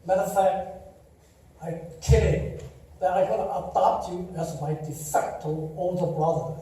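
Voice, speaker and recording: Older man with Chinese accent, slow eulogy. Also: high-pitched, fairly even intonation, a little hiss or hum. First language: Taiwanese. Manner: slow, warm storytelling with laughs. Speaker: eulogy speaker. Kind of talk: memorial eulogy. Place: memorial service hall. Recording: echoey hall, distant mic.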